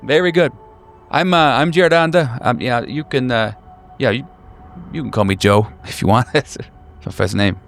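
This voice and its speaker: A man's voice: medium pitch, moderate pace, vocal fry, fairly bright tone, slight background noise. A man voicing a jovial, eager-to-please police detective who rambles, repeats himself and chuckles, in a tabletop roleplaying game. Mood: jovial, welcoming.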